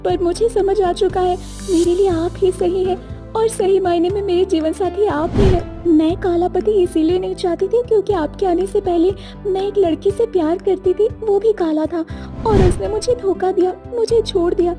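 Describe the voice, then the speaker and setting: A woman's voice: extremely high pitch, steady tone, moderate pace, music in the background. A woman voicing an earnest, devoted young wife in a moral-story cartoon, with emotive delivery. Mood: apologetic tender.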